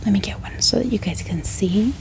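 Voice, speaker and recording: A woman hosting a bookish ASMR video in a soft whisper, talking gently and informally to her viewers without hurry. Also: medium pitch, a little fast, creaky, low-quality recording. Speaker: book haul ASMR host.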